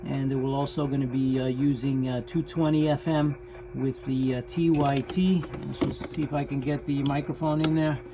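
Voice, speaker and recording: A man hosting a hobby radio channel, talking casually and haltingly as he explains things in an informal vlog style. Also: mid-range voice, flat delivery. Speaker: host of a ham radio channel. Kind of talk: casual vlog talk about radio operating. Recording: clear background noise.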